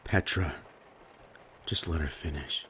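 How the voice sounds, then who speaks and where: A man's voice: very deep voice, takes it slowly, pushed and bright, slight background noise, heavily acted. A man playing a weary, injured, stoic survivor in an audio drama. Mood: weary, calming.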